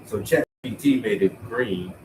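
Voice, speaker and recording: A man's voice: on the low side, normal speed, distant mic. Congested man, casual rambling, swears a lot, a bit off-mic, faint background hum. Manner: laid-back, rambling, thinking aloud, swears casually. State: congested and tired from a cold. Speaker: painter streaming while painting. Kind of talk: casual talk to himself while painting.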